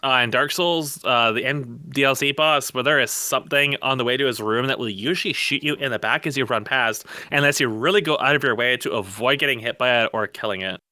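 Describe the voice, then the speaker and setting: A man's voice: mid-range voice, fairly quick, hardly any pauses, pushed and bright, no echo, studio quality. A man in a casual, opinionated gaming podcast discussion, speaking bluntly and emphatically with plenty of profanity. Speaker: podcast co-host. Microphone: close mic on an online voice call.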